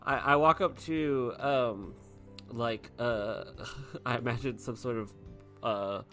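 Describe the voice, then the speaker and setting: A woman chatting as a player in a tabletop game, in a halting, tentative way. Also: very deep voice, fairly bright tone, faint music behind. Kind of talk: casual chat in actual play.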